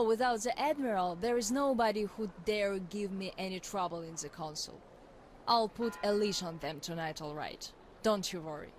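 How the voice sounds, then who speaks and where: A woman's voice: mid-range voice, a little hiss or hum, natural voice, poor audio. A woman playing a tough, wistful young fighter. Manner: wistful, frank. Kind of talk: in-game monologue.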